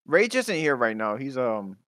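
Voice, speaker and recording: A young man from the DMV area on an online call, trolling with a deadpan, filler-heavy delivery. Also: mid-range voice, measured pace, no echo, clean studio sound. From DMV area, USA. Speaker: caller on a stream. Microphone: online call mic.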